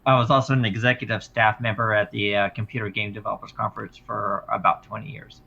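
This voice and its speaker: A middle-aged man with a low, gravelly voice and a sharp, bright edge, heard through a dull lo-fi mic. He tells his stories haltingly, with plenty of "um" and "uh" and restarts. He is a guest on a casual stream. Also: level tone. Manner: halting, many fillers and restarts, laughs while telling stories. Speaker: guest, former game designer.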